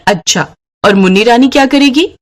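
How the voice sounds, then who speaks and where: A woman voicing a fond, teasing village mother in a cartoon moral story, affectionate and gently scolding. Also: a little high, moderate pace, natural voice.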